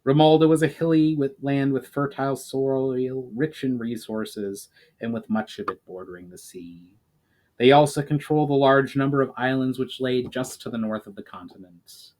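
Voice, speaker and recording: A man's voice: mid-range voice, moderate pace, a little mellow. Man reading fantasy fiction dramatically. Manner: stumbles and rereads phrases. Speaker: author reading own novel. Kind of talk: dramatic audiobook-style narration of fantasy fiction.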